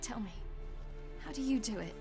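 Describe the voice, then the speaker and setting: A woman's voice: fairly high pitch, expressive, normal speed, over music. An actress playing a stubborn, frustrated young woman with magic powers in a fantasy game, headstrong and outspoken. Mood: weary, wry. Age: young adult. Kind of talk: video game voice acting.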